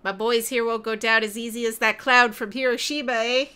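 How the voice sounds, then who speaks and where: A woman's voice: fairly high pitch, fairly even intonation, fairly quick, bright, forceful tone, clear, resonant tone. A woman voicing a sheepish male yakuza thug with a put-on Canadian accent, apologizing and adding "eh" to his lines. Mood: taunting. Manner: apologetic, tacks "eh" onto lines.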